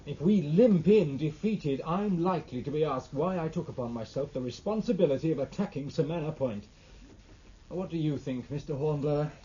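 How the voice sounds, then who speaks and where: An actor playing an anxious, testy British naval captain in a 1950s radio drama, speaking in a formal, challenging manner. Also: male voice, a little high, moderate pace, dark tone, natural voice. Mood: worried, probing. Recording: muffled, noisy vintage radio recording.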